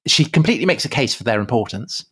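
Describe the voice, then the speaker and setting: Co-host, man, mid-pitched voice, halting and chatty. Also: husky. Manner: hesitant restarts, animated when joking. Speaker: podcast co-host. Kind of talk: bantering podcast chat.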